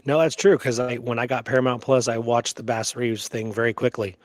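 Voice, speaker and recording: A man's voice: mid-range voice, steady tone, fairly quick, dry recording. A middle-aged American man chatting casually and emphatically about his opinions, in colloquial language with the odd swear word. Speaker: podcast co-host, history researcher and author. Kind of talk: casual opinionated history chat.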